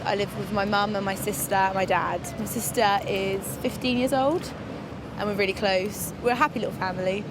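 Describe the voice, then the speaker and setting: A woman's voice: medium pitch, fairly even intonation, a little fast. A young woman casually answering interview questions outdoors in a city. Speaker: street interviewee. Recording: clear outdoor urban traffic noise.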